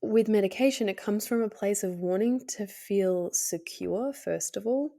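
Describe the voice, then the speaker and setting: A woman with a cold, sounding hoarse and nasal, hosting her podcast in a candid, hesitant way with many fillers and soft laughs. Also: mid-range voice, moderate pace. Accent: Australian. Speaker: podcast host. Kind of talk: casual solo podcast talk.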